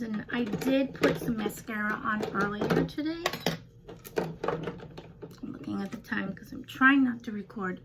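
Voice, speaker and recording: An older woman with a slightly raspy voice, chatting casually through a home makeup tutorial with rambling, self-deprecating asides. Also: medium pitch, heavy background noise. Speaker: beauty vlogger.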